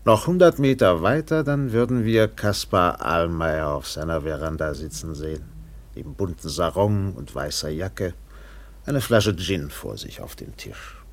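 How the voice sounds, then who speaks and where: Low-voiced, dramatic sea captain narrator. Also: male voice, unhurried. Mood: evocative. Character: weathered sea captain recalling a doomed trader in Borneo. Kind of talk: dramatic German radio play narration.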